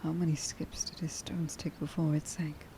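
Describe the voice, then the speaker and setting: A woman playing a tender, emotional young huntress in an ASMR roleplay, speaking softly and breathily and often dropping toward a whisper. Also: low voice, flat delivery, moderate pace, slight background noise. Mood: wistful, remembering. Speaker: voice actor.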